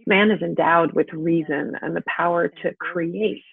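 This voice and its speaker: Tinny phone audio, wistful woman, husky, soft, earnest, measured, naturalistic radio drama. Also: on the low side, fairly even intonation, dry recording, exaggerated voice. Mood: earnest, impassioned. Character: gentle, wistful actress talking to an old friend by phone. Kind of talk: intimate naturalistic audio drama acting and monologue. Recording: tinny, band-limited phone line.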